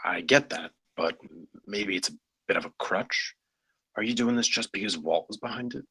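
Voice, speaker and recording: A man playing a skeptical, blunt colleague in a screenplay table read, with a rough edge to his voice. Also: lowish voice, normal speed, bright, forceful tone, no room sound, full character voice. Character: skeptical, blunt male colleague named John, unimpressed.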